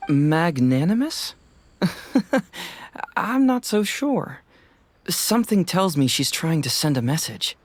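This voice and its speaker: A man's voice: a little high, big pitch swings, takes it slowly, long pauses, dry recording, full character voice. A man playing a smug, playful young detective in a game, breezy, teasing and self-assured. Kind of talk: animated game dialogue.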